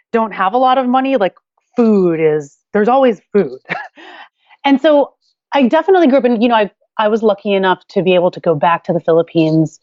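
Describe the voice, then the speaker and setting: A woman's voice: fairly low pitch, darkish tone, no echo. Candid woman in her forties, dull audio. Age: 40s. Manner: candid, thinks aloud with frequent restarts and light laughter. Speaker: guest author. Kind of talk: reflective personal storytelling.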